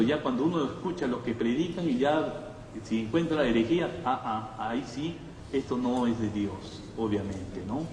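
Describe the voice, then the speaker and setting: A man's voice: mid-range voice, mellow. A man with a Latin American Spanish accent preaching a conversational sermon to a congregation, emphatic, repeating phrases and asking rhetorical questions. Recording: echoey hall, distant mic, faint noise.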